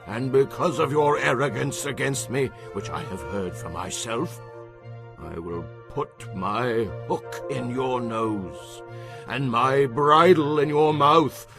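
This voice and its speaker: Slow, solemn male prophet over music. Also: mid-range voice. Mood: commanding, wrathful. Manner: solemn, declamatory, emphatic. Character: stern male prophet declaring God's wrath and promises. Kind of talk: dramatized audio Bible acting.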